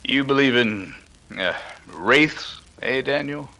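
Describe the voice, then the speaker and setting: A man playing a calm, dryly witty detective with an air of authority in a 1930s radio drama. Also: mid-range voice, takes it slowly, gravelly, exaggerated voice, poor audio. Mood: wry.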